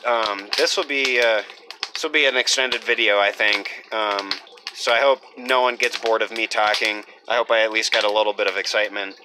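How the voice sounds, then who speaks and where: Young guy rambling about his off-grid build, kinda monotone, lots of ums, noisy camera sound. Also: lowish voice, normal speed. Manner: rambling, lots of fillers, self-described monotone. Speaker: homesteader vlogger. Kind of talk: casual off-grid build vlog. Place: half-built earthship and outdoor rooftop.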